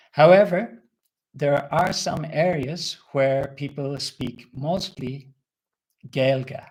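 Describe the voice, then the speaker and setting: A man's voice: fairly high pitch, a little mellow, low-quality recording. A man from Ireland with an Irish accent, teaching a live online English lesson and reading out viewers' answers. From Republic of Ireland. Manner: slow, clear teacher talk, reading out viewers' comments.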